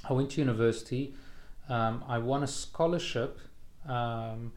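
A man of about fifty with a South African accent, reflecting as an interview guest and pausing often mid-thought. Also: medium pitch, darkish tone. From South Africa, born in Israel. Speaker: podcast guest, investor.

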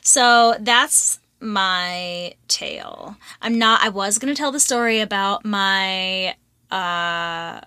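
A young adult woman hosting a podcast, telling personal stories in casual, animated, sweary chat. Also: medium pitch, slow, clean tone, dry recording, studio quality. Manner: animated, giggly, sweary, acts out dialogue. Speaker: podcast host.

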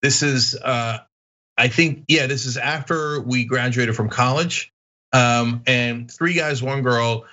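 A man raised in Turkey, hosting a casual podcast and telling stories in an animated way, laughing often. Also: mid-range voice, takes it slowly, dull audio. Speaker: podcast host. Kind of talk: casual chat.

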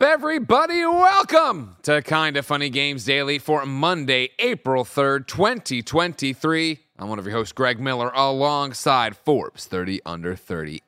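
A man hosting a video game news show, his voice roughened from two nights of screaming, talking with big emphatic hype. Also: fairly high pitch, dry recording, clean studio sound. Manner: animated, emphatic hype delivery. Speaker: host. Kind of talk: energetic podcast show hosting.

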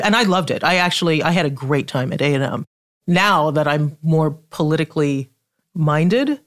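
A middle-aged woman from Trinidad with a studied American accent and a polished, measured way of talking, a guest in a casual podcast conversation who laughs easily. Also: deep voice. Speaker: guest author.

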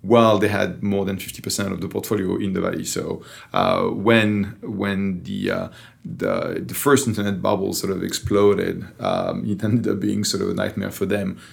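A middle-aged man from France with a French accent, telling his story as a guest in a relaxed, dry way. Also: deep voice, moderate pace, vocal fry. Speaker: interview guest, venture investor. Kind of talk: storytelling in an interview.

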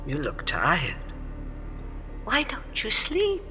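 A woman playing a sinister, taunting old sleepwalker in an audio horror drama, with drawn-out, unhinged delivery. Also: lowish voice, huge pitch swings, pushed and bright, soft background music, exaggerated voice. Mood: eerie taunting. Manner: drawn-out phrases broken by unhinged laughter.

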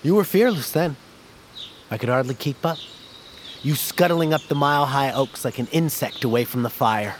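A man's voice: mid-range voice, moderate pace, a little hiss or hum, natural voice. An actor playing a stern, proud smuggler guide in an audio drama, sardonic and formal in his phrasing. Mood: needling. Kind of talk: dramatic audio fiction acting.

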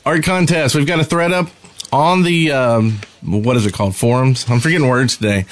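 A man's voice: mid-range voice, normal speed, no room sound. Male podcast co-host, mid-range voice, informal gaming chat among friends. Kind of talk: casual group podcast chat about gaming.

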